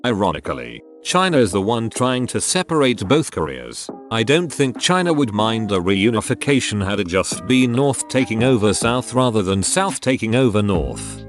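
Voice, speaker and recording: A man narrating online forum posts in a steady, scripted read-aloud delivery. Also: fairly low pitch, normal speed, faint music behind.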